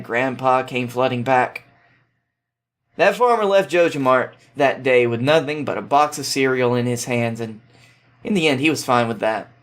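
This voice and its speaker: A man's voice: mid-range voice, fairly bright tone. A man as a podcast guest, reading a story aloud and chatting casually. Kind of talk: fan fiction read aloud and casual podcast chat.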